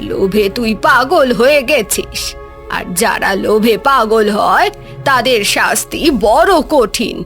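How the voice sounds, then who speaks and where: A solemn old woman from a cartoon fable , acted by a woman, stern and admonishing. She speaks very slowly with sweeping intonation over faint music. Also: a little high, animated, fairly bright tone. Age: older. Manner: grave, admonishing. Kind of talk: Bengali cartoon voice acting.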